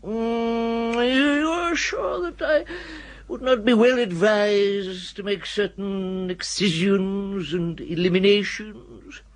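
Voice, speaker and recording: A man's voice: sits high, takes it slowly. An actor playing a genial, pompous, long-winded elderly country clergyman with an upper-class English accent, hesitant and ornate, in a radio comedy. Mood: flattered, genial. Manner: ponderous, ornate, hesitant with ums. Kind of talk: radio comedy drama acting.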